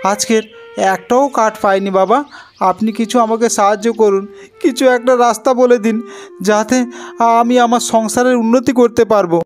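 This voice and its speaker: A man's voice: very high-pitched, fairly even intonation, normal speed, soft background music, voice pushed up. A man playing a poor, humble woodcutter in a cartoon folk tale, plaintive and respectful. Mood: pleading. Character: poor, sorrowful, pleading woodcutter, humble husband.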